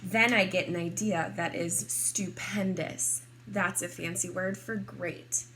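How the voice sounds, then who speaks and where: A woman reading a picture book aloud expressively to kindergarteners, in a deliberate teacherly way. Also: lowish voice, fairly even intonation, a bit bright, slight background noise. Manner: deliberate, clearly addressed to small children.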